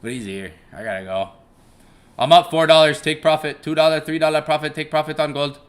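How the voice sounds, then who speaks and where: A man's voice: fairly high pitch, brisk. A young man hosting a casual live stream, rambling, chuckling and swearing casually as he repeats himself. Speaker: live-stream trading host.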